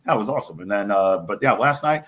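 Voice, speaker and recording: A man's voice: on the low side, lively intonation, fast, a bit bright. A man from New York City chatting casually as an interview guest, enthusiastic and rambling as he tells anecdotes. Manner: enthusiastic, rambling, frequent "you know". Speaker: bar owner, podcast guest.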